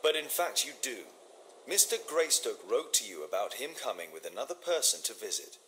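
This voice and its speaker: A shrewd gentleman detective of Victorian London. He speaks in formal, articulate, probing phrases with composed authority, though the melody of his speech moves widely. It is dialogue for a video game. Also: mid-range voice, very animated, brisk, slight background noise.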